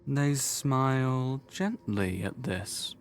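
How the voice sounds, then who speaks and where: A man performing solemn, deliberate second-person narration for a dark fantasy visual novel. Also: mid-range voice. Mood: measured. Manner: deliberate, literary.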